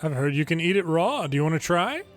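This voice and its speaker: A man reads game story lines aloud as host of a playthrough. His voice is a bit high for a man, and his delivery is informal and amateur, dropping into casual asides. Game music plays underneath. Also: very animated, fast talker. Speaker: gameplay video host reading subtitles aloud.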